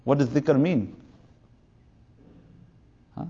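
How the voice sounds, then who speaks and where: A man's voice: a little high, extremely slow, warm tone, slightly rough, muffled recording. A man of fifty giving an informal religious lecture as a teacher, rambling through anecdotes and mixing in Arabic and Urdu terms. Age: 50s.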